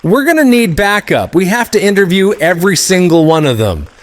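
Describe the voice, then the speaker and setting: Man playing an animated, inquisitive FBI agent. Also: high-pitched, a little fast. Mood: keyed up. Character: inquisitive, talkative male FBI agent, polite but skeptical. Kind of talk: animated noir satire voice acting.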